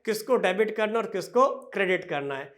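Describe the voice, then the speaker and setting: A man's voice: sits high, animated, fairly bright tone. Man teaching accounting to students. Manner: addresses students as "beta", asks and answers own questions; slow, drawn-out dictation of entries. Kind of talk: classroom lecture.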